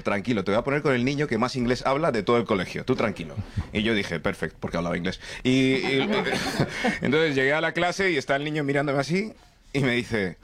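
A man's voice: medium pitch, noisy background. Chatty young man from Nigeria, stand-up. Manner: chatty, storytelling with frequent "¿vale?" and "¿sabes?". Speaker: stand-up comedian. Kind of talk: stand-up comedy set. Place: comedy club stage with a laughing audience.

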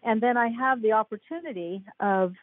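A woman's voice: a little high, animated, moderate pace, no echo. Older woman on a tinny remote line. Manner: enthusiastic, laughs often. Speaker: guest author. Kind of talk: casual podcast chat. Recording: tinny phone-like line, dry.

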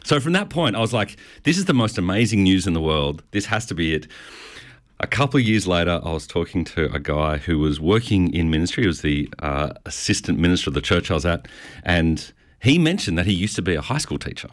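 This is a middle-aged Australian man with a notably low voice and lively, swooping intonation. He speaks with a stutter and tells his story in a casual, self-deprecating interview style. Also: a little fast. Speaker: pastor. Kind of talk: conversational interview answers.

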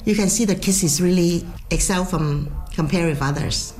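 A woman teacher, a native Cantonese speaker, speaks in an interview. Her voice is a bit low and her phrasing plain and simple. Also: normal speed, a little mellow. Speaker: language teacher.

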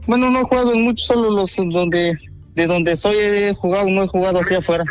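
A man's voice: high-pitched, steady tone, brisk, clean tone, soft background music, poor audio. A young man from Guatemala on a prank call, giving short, hesitant answers. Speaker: caller, prank target.

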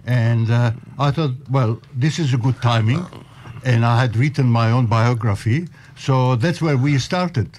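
An older man from Egypt, speaking haltingly with many pauses. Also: medium pitch, a little mellow, a little hiss or hum. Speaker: interview guest.

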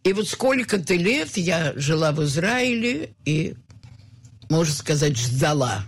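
An older woman from the Soviet Union, a concert pianist giving an interview, talking in a rambling, halting way with many fillers. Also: sits low, sing-song, slightly rough. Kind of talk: reminiscing interview talk.

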